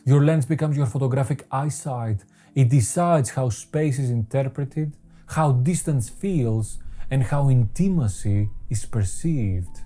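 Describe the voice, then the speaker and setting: Dark-voiced man. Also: medium pitch. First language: Greek. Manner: measured, poetic, deliberate phrasing. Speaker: photography channel host. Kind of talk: scripted voice-over narration. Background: faint guitar music.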